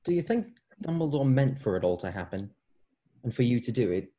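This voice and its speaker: A man plays a cheeky boy wizard, loyal to his friend. The voice is mellow and medium in pitch for a man, read as part of a fantasy script. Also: big pitch swings, a little fast, close to an everyday voice. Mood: curious. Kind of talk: table read of a fantasy screenplay.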